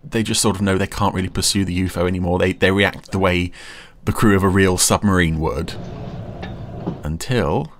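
Low-pitched man musing over a show. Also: steady tone, moderate pace, slight background noise. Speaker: podcast commentator. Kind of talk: casual commentary while watching a TV episode.

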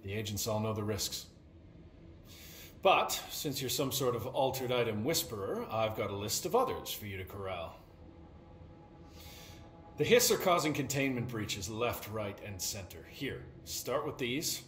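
An actor voicing a wry facility overseer in a video game, with an animated way of talking. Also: male voice, on the low side, measured pace. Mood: brisk, wry. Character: wry male overseer of a dangerous containment facility. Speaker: supporting game character. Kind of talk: video game dialogue acting. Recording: echoey room, faint noise. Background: ambience.

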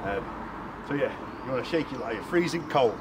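A man's voice: medium pitch, animated, fairly quick. A man in his thirties with an English accent, giving a casual, colloquial explanation as a fishing vlogger. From United Kingdom. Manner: informal, colloquial. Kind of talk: casual explanatory vlog chat. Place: outdoors on a canal bank. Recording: noisy outdoor ambience.

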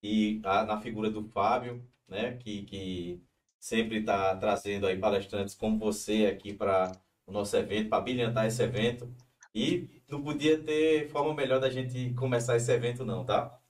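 A man's voice: on the low side, steady tone, normal speed. Man, event host, live stream, friendly, informal, distant mic sound. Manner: friendly, informal, many filler tags.

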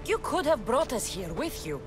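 A woman's voice: a little high, big pitch swings, moderate pace, over music. An actress playing a determined young female warrior in a video game, asking direct, pressing questions. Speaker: game character.

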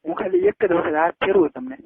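A phone recording of a man, in a casual private chat. His voice is high and swings widely in pitch as he coaxes and presses his point, and the line sounds thin and narrow. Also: wildly swooping pitch, fast talker, vocal fry, slightly rough, dry recording. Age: early 20s. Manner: insistent, coaxing. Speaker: caller. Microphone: telephone. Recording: tinny phone call recording.

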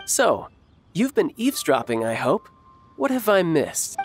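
Playful young schemer, self-satisfied, lightish pitch, slight background noise, video game. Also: male voice, big pitch swings, unhurried. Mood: smug, amused. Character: smug, playful young male schemer and commander.

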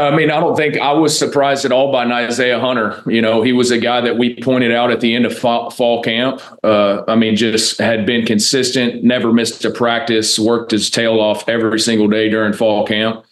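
An American man in his thirties, a football coach answering press questions in a measured, matter-of-fact way. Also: medium pitch, level tone. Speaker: college football offensive coordinator. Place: indoor press room, seated at a table before a backdrop.

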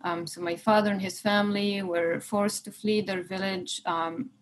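A woman in her thirties from Palestine, interviewing in an earnest way with many pauses, speaking through earphones on a video call from her living room. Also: medium pitch, fairly even intonation, slight background noise, rough recording. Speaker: interviewer, executive director. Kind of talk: personal conversational interview. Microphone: earphone headset on video call.

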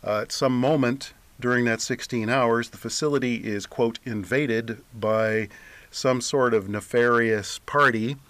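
A man's voice: mid-range voice, fairly even intonation, measured pace, fairly bright tone. A man speaking as a guest author in a radio interview.

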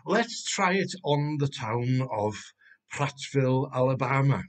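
A man in his sixties from Wales, a native Welsh speaker with a Welsh accent, giving a measured, explanatory tutorial recorded at a desk webcam at home. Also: mid-range voice, expressive. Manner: measured, explanatory, some restarts. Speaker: Wikimedia manager presenting a tutorial. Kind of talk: screen-recorded tutorial narration. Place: home room, indoors.

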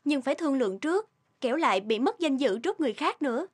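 An actress dubbing a practical, mildly chiding young wife in a cartoon. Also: female voice, sits high, sing-song, fast. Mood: advising.

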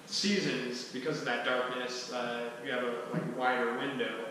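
Far from the microphone in a very echoey hall sounds a man with a bright, forceful voice. He presents casually, pausing and restarting as he thinks aloud. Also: medium pitch, fairly even intonation, slight background noise. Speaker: presenter at a skillshare. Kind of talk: informal talk to a small group. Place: large wooden-floored hall, indoors. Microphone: distant camera microphone.

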